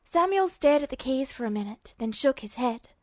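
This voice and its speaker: A woman's voice: sits high, animated, normal speed, a little mellow, no room sound, studio quality. A woman narrating a story from a script as an audiobook-style reader. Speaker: podfic narrator.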